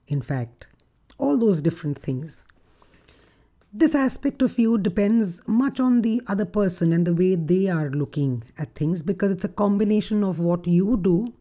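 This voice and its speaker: A woman with a deep, gruff voice hosting a reflective solo podcast as a relationship coach, speaking in a measured, thoughtful way. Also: dark tone. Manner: measured, thoughtful delivery with occasional fillers.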